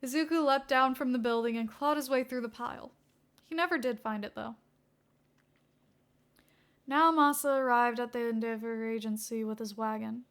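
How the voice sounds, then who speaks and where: High, bright-voiced woman narrating a story. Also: fairly even intonation, moderate pace, long pauses, clear, resonant tone. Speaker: fan fiction reader.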